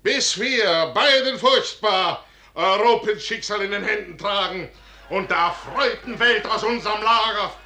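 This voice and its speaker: A man's voice: high voice, unhurried, bright, forceful tone, exaggerated voice. A man acting a proud, betrayed older general in declamatory classical verse for a 1950s radio drama. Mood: grave, resolute. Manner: declamatory, theatrical. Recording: echoey, noisy 1950s radio recording.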